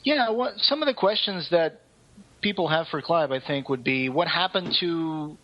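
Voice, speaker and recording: Higher-voiced man on a dull lo-fi recording. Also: normal speed, slight background noise. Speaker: podcast co-host. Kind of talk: casual podcast chat. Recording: dull, lo-fi voice connection.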